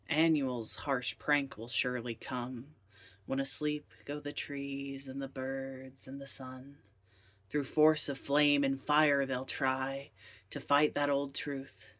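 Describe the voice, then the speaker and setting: A man recites poetry at a very unhurried pace. His mid-pitched voice is deliberate and theatrical, with a whimsical streak that suits a poet performing verse. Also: fairly bright tone. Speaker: poet reading own poems.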